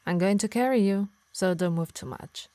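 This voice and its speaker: A woman's voice: mid-range voice, moderate pace, mellow, no room sound, natural voice. A woman playing a possessive, teasing harpy healer who scolds fondly in an ASMR roleplay. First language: Italian.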